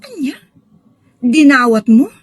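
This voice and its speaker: Woman acting exasperated husky-voiced nagging wife. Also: high-pitched, animated, slow pace, darkish tone, heavily acted. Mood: suspicious, scolding. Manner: nagging, questioning. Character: exasperated wife of a selfish engineer. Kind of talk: Ilocano audio drama acting.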